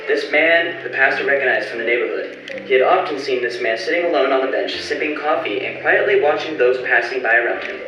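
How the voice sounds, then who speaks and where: A man's voice: medium pitch, a little fast, music in the background. A man narrating a scripted story in the style of an old-time radio play. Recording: very echoey, distant, muffled, noisy.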